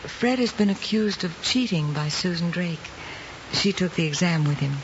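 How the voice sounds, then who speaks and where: Woman playing composed lady teacher in an old drama, measured and tactful, dull sound, noisy. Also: on the low side, lively intonation, lightly acted. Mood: concerned, tactful. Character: composed, probing female schoolteacher, concerned and fair.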